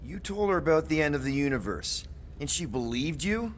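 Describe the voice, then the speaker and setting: A man plays a brash young leader of a space crew in a video game, wry yet earnest. His voice sits fairly high and swings freely in pitch, over faint background noise. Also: animated, moderate pace, close to an everyday voice. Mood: puzzled. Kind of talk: video game cutscene acting.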